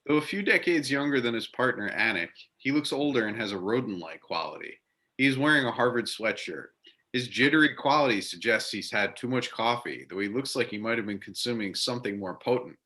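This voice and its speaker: A man's voice: medium pitch, lively intonation, a little fast, pushed and bright. A man narrating scripted stage directions for a readers-theater drama.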